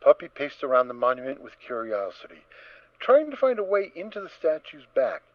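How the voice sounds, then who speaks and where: A man narrating a story as a dramatic reading, in an expressive, theatrical manner. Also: a little high, fairly quick, no room sound. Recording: slightly dull, dry close recording.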